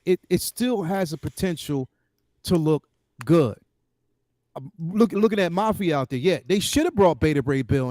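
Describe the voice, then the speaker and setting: A man with a notably high voice co-hosts a casual, opinionated talk about films. He speaks emphatically and repeats phrases to drive points home, in a dry, close-sounding recording. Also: darkish tone, no room sound. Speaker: co-host. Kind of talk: casual opinionated film discussion.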